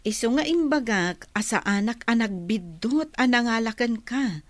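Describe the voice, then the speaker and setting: A woman's voice: on the high side, animated, normal speed, no echo, lightly acted. A woman with an Ilocano accent playing a prying, catty office superior with a pointed manner in a radio drama. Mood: sarcastic, scolding.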